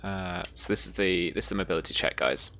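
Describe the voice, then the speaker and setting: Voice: deep voice, fast, fairly bright tone, a little hiss or hum. A game master running a tabletop roleplaying game, informal in manner, narrating the action.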